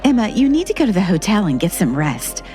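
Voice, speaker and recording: An actress playing a bossy, controlling older sister who talks down to others in an audio drama. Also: female voice, mid-range voice, big pitch swings, quick pace, dark tone, soft background music, natural voice. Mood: dismissive. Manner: condescending and commanding.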